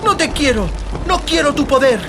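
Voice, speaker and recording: High-pitched frightened, defiant girl played by a woman. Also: lively intonation, normal speed, heavy background noise. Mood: desperate refusal. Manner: emphatic, crying out. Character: frightened, defiant girl refusing a dark power. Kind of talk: dramatized audiobook acting.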